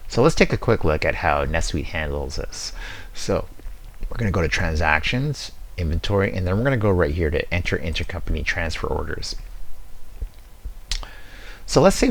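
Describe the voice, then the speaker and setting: A man with an exceptionally deep voice narrates a software walkthrough. He sounds casual and conversational, explaining each step plainly as a presenter would in a screen demo.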